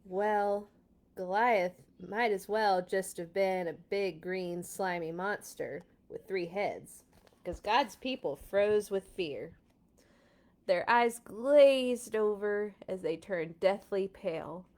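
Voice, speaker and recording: Female children's read-aloud host: unhurried, expressive, playful, informal. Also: medium pitch. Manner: dramatic, playful sound effects. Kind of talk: expressive storybook reading with casual asides.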